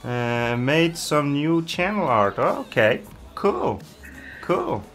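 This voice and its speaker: A man's voice: on the high side, sing-song, slow, fairly bright tone, faint music behind. A man from Iceland, chatting casually as a game streamer and reading viewer comments aloud, with hesitant fillers. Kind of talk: casual live-stream chat.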